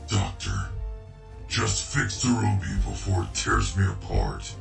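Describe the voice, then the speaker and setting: Man voicing brooding, gravelly jackal villain, low and roomy. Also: huge pitch swings, unhurried, distant mic, soft background music, full character voice. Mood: pained, irritated. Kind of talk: fan comic dub voice acting.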